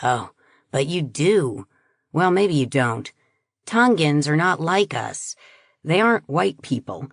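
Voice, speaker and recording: A man's voice: fairly high pitch, sing-song, slow, creaky, fairly bright tone, no echo, clean studio sound, full character voice. A woman voicing a stiff, prejudiced middle-aged husband who talks in a stubborn, evasive way.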